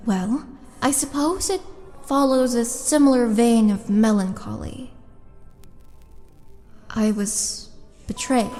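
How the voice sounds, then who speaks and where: A woman playing a tall, lonely orc oracle in an intimate roleplay audio drama, speaking softly and coaxingly with breathy, whispered touches. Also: on the high side. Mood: wistful, pleading. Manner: slow, coaxing, intimate, halting. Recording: long reverb, music behind.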